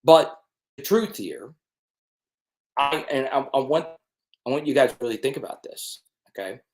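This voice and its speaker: Man, sports stream host, mid pitch, emphatic, keeps asking "okay?". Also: animated, moderate pace. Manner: emphatic, repeats "okay?" to check in with viewers. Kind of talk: live stream commentary. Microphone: desk mic with changed settings.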